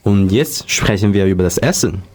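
A man's voice: low voice, animated, normal speed, darkish tone. A man hosting a radio show, presenting and putting questions to guests. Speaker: radio host.

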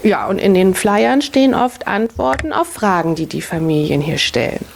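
A woman's voice: medium pitch, expressive, normal speed, creaky, slight background noise. A woman in her thirties, a city family-office worker, patiently answering children's questions in simple words, often repeating the question back first. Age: 30s.

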